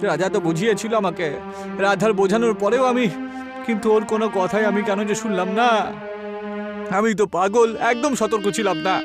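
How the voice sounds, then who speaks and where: A man's voice: sits high, a little fast, close to an everyday voice. A man voicing a poor, timid village man in a cartoon, with a plaintive, questioning manner. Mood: remorseful, tearful. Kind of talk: cartoon dialogue. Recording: music behind the voice. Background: music.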